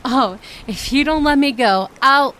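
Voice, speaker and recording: Woman acting a high-voiced, flustered, shy mermaid. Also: a little slow, sharp tone, slight background noise. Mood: huffy, flustered. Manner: flustered, hesitant, stammering protests. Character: shy, flustered, kind-hearted young mermaid. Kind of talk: roleplay audio drama, ASMR.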